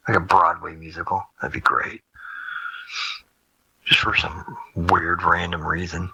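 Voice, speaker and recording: Gravelly low guy riffing casually, super husky, sharp edgy tone. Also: little pitch movement, measured pace, low-quality recording. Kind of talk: casual off-script studio banter.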